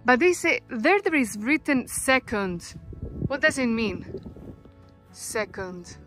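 A woman's voice: on the high side, sing-song, slow. A woman, chatting casually as she hikes outdoors. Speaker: travel vlogger, hiker. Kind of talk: casual chat while hiking. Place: outdoors on a mountain trail. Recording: outdoor camera audio, music underneath.

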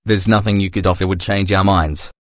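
A man voicing a stern, threatening pirate slaver in a motion comic, in a gruff, menacing manner. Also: low voice, little pitch movement, a little fast, dry recording, close to an everyday voice. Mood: stern, wary. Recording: background music under the voice.

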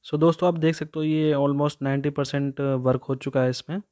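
A man in his thirties from India, a camping vlogger who chats casually while showing each step of pitching a tent on an open hillside. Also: steady tone, normal speed, warm tone, dry recording, clean studio sound. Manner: chatty, repeats "so doston", shows each step. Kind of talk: casual how-to vlog. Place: open hillside meadow outdoors.

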